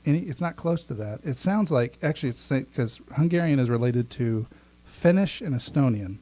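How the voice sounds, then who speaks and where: A man's voice: mid-range voice, animated, fairly quick, warm tone. A man in his thirties co-hosting a podcast, chatting casually, laughing often and restarting his sentences. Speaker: podcast co-host. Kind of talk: casual chat.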